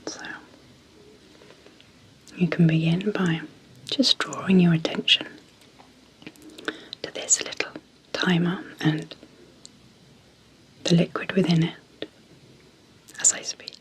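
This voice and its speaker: A woman speaking softly as an ASMR host, guiding sleep hypnosis in a hushed, gentle, deliberate way with long pauses. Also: on the low side, level tone, rough recording. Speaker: ASMR host and clinical psychologist.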